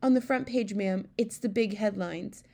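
A woman's voice: medium pitch, normal speed, vocal fry, warm tone. A woman voicing a middle-aged royal maid in a storybook, deferential, flustered and prone to stammering. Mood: shaken. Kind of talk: storybook character voice.

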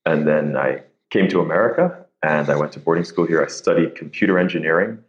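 A man's voice: extremely low pitch, brisk, echoey room, lo-fi sound. A man from Nigeria and the Bahamas, a podcast guest telling his story casually, self-deprecating and laughing easily, often repeating words as he starts. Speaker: podcast guest, tech founder.

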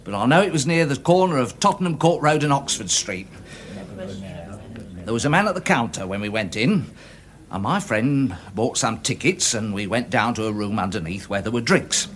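A man's voice: medium pitch, lively intonation, moderate pace, lightly acted. An actor playing a respectable, embarrassed little Englishman giving evidence in a radio drama, prim, hesitant and stammering. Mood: sheepish, confessional.

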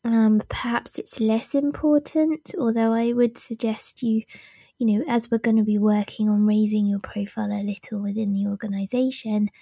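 A woman hosting her own podcast, talking casually and self-deprecatingly, with plenty of ums, while her voice has gone a little deep and husky. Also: steady tone, normal speed, dark tone, no room sound. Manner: self-deprecating, many ums, laughs at herself. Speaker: podcast host. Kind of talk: casual solo podcast talk.

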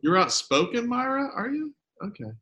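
A man's voice: extremely high pitch, sing-song, normal speed, bright, forceful tone. A man hosting a casual studio conversation, speaking loosely and rambling, with joking asides. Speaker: host.

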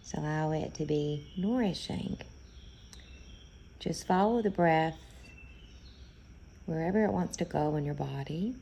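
Slow, mellow woman guiding a meditation. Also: fairly low pitch, creaky, slight background noise. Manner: gentle, unhurried, pausing often between phrases. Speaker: meditation guide. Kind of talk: guided meditation, soothing slow instruction.

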